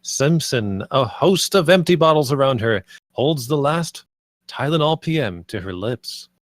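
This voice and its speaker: A man's voice: medium pitch, animated, unhurried, no room sound, clean studio sound. A man narrating the stage directions and scene headings of a screenplay at a table read.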